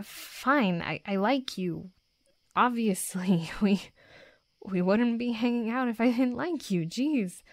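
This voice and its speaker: Woman voicing a flustered, tough werewolf girl, unhurried. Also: medium pitch, darkish tone, dry recording, studio quality, close to an everyday voice. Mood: flustered, evasive. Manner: flustered, stammering, gruffly defensive. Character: tough, defensive young female werewolf, secretly smitten tsundere. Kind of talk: intimate roleplay audio drama.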